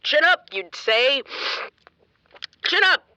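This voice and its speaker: A woman's voice: sits high, big pitch swings, takes it slowly, sharp tone, dry recording, exaggerated voice, low-quality recording. A woman playing a troubled, self-doubting young radio interviewer in a naturalistic audio drama. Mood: tipsy, despondent. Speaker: radio reporter.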